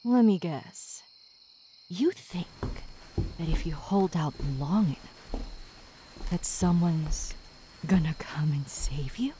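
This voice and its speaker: A woman playing a sadistic, possessive female demon in an ASMR roleplay, theatrical, slipping between breathy whispers and menacing outbursts. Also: on the low side, animated, slow, long pauses, background noise. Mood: irritated, threatening. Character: sadistic, obsessive, possessive female demon stalking her pet.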